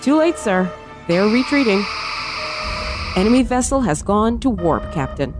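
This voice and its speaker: A woman's voice: medium pitch, very animated, measured pace. A woman playing an alert, dryly humorous starship bridge officer in a fan audio drama, with music behind her.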